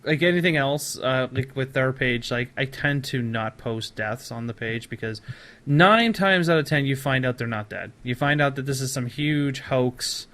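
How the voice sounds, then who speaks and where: Male podcast host, medium pitch, effusive and informal, conversational delivery. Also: normal speed, fairly bright tone. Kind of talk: casual podcast chat about films.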